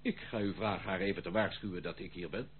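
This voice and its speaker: A man's voice: sits low, steady tone, quick pace, lightly acted. An actor playing a roguish, secretive male intruder in a radio play, nonchalant, teasing and theatrical. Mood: sly, teasing. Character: roguish, secretive prodigal intruder, jaunty and sly. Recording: tinny old radio recording, faint noise.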